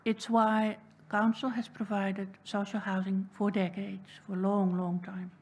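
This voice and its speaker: A woman with a Dutch accent making a prepared public submission at a council hearing, speaking in a measured, deliberate and earnest way. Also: medium pitch, level tone, a little mellow. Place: council chamber.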